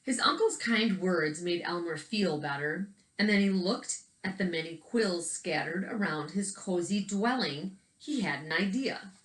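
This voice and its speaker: A woman reads a children's picture book aloud expressively, pausing to chat about the pictures as if to young children. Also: lowish voice, animated, a bit bright. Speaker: read-aloud storyteller. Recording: roomy, echoing indoor recording.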